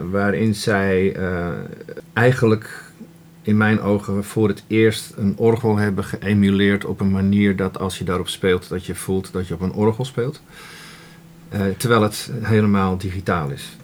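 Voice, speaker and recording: A man's voice: lowish voice, steady tone, normal speed, a little mellow. A man, a working musician, talking informally in an interview. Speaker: musician, organist. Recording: clear background noise.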